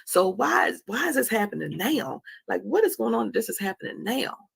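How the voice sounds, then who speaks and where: Female, forties, heavily creaky and husky. Candid motivational speaker, leans on repetition for emphasis. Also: medium pitch, very animated, brisk. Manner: emphatic, repeats phrases for effect. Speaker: host of a live talk on anxiety.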